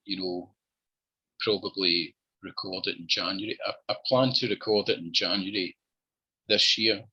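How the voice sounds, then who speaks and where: A man's voice: sits low, fairly even intonation, moderate pace, breathy edge, lo-fi sound. A man with a Scottish accent, chatting easily as the guest in a video-call interview and often repeating his phrases. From Scotland. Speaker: musician guest. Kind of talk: relaxed interview chat.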